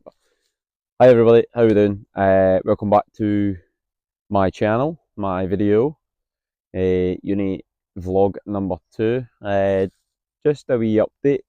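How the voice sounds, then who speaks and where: A Scottish man in his late twenties, a little husky from being unwell, talking candidly to camera outdoors with plenty of hesitant ums. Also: lowish voice, no room sound, highs cut off. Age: 20s. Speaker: vlogger, university student. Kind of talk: casual vlog to camera. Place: outdoors on a campus.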